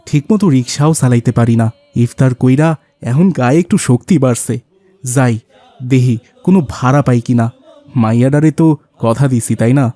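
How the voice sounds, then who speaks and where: A man's voice: mid-range voice, warm tone, dry recording, lightly acted. A man playing a poor, weary, humble rickshaw-puller father in a cartoon, earnest and plaintive, with a colloquial Bangladeshi accent. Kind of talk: cartoon voice acting.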